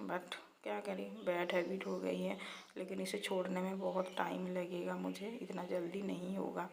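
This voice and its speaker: A woman with a slightly hoarse, creaky voice talks through her day as a casual vlogger. Her delivery is fairly even in pitch, chatty and simple, with some English words dropped in. There is faint noise in the home recording. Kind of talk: casual daily-life vlog narration.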